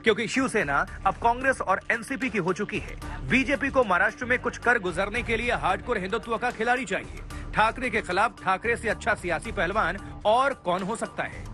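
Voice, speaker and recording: A man's voice: sits high, bright, forceful tone. A man reading a scripted, dramatic TV news report as a voice-over. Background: background music.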